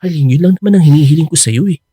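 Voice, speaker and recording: An actor playing an earnest young Filipino boyfriend in a radio drama, coaxing and reassuring with some exasperation. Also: male voice, a little high, very fast, mellow, husky, no room sound. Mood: pleading. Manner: pleading, coaxing.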